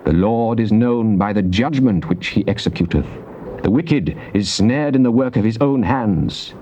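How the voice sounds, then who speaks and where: A British actor playing a fervent psalmist in a dramatized King James Bible reading, declaiming solemnly and deliberately. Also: on the low side, expressive, dark tone. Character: psalmist, fervent man praying and praising God. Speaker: scripture reader. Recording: dull, noisy cassette tape transfer.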